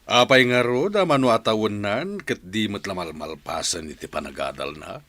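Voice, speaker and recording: Stern household patriarch, Ilocano accent, low voice, wide swings, radio drama. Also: male voice, expressive, normal speed, a bit bright, no echo. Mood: exasperated.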